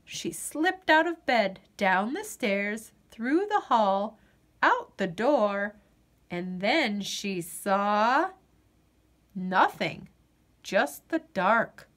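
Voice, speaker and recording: Female picture-book reader: unhurried, animated delivery with dramatic pauses for young listeners. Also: mid-range voice. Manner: dramatic, deliberate, with drawn-out pauses. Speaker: storyteller reading a picture book aloud. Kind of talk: expressive children's storybook narration.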